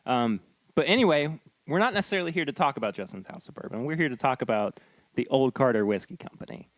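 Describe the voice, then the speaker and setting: A man's voice: mid-range voice, expressive, fast, no echo. A man hosting a podcast, chatty and enthusiastic, often restarting his sentences. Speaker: podcast host. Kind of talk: casual podcast interview. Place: whiskey tasting room.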